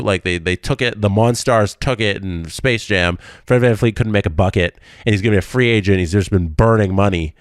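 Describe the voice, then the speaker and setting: A man's voice: lowish voice, dry recording, clean studio sound. A man hosting a solo sports podcast, with a stuffy nose, ranting emphatically and stacking up repeated phrases.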